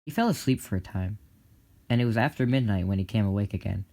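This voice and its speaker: A man's voice: lowish voice, lively intonation, fast talker, warm tone. A man reading scripted story narration for an audio drama. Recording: prominent guitar music behind. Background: plucked guitar music.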